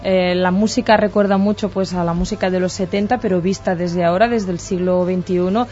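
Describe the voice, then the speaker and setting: A woman with a Catalan accent, presenting and interviewing on the radio in a clear, measured way. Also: lowish voice, fairly even intonation, dark tone. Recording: dull lo-fi radio sound with faint music.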